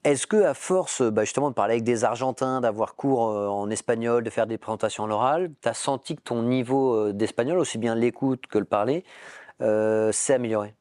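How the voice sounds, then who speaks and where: A man's voice: medium pitch, brisk, darkish tone, no echo, studio quality. A man hosting an interview, asking guiding questions and using frequent fillers. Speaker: interviewer, prep school director.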